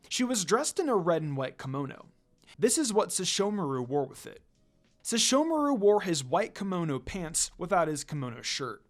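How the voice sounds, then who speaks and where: A man reading a fan fiction story aloud in an expressive narrating style. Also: sits high. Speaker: fan fiction reader, channel host. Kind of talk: expressive scripted story narration with casual asides. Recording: music under the voice. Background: music.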